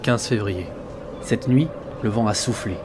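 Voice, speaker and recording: An actor playing an earnest sailor who narrates his ship's log in a measured, reflective way for an audio drama. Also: fairly low pitch, background noise, lightly acted. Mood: grave, reflective. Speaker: narrator and lead character. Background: background music.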